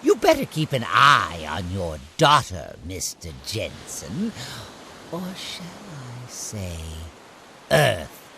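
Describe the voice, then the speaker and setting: Mocking, menacing supervillain, wildly swooping voice. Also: male voice, fairly low pitch, huge pitch swings, gravelly, sharp tone, a little hiss or hum, full character voice. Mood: taunting. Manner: mocking, theatrical, drawn-out phrasing. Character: menacing, taunting male supervillain Fire Reaper. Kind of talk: superhero audio drama acting.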